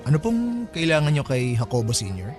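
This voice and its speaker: A man's voice: mid-range voice, huge pitch swings, fairly quick, darkish tone, soft background music. A man playing a polite but flustered peacemaking eldest son in his late twenties in a Filipino radio drama. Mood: calming, polite.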